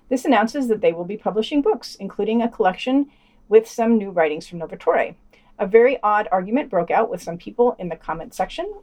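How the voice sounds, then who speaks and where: A woman's voice: a little high, a little mellow. A woman hosting a podcast, reading scripted news items and quotations with dry, sardonic commentary.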